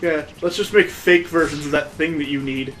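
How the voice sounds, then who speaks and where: A man with a slightly high voice gives casual commentary as he plays a video game. He gripes with amused sarcasm, and game music plays faintly behind him. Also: fairly even intonation, moderate pace, a bit bright. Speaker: let's play video host.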